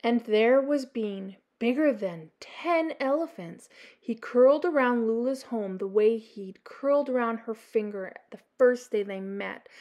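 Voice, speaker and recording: A middle-aged woman reading a children's story aloud in an expressive storytelling style. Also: mid-range voice. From Canada.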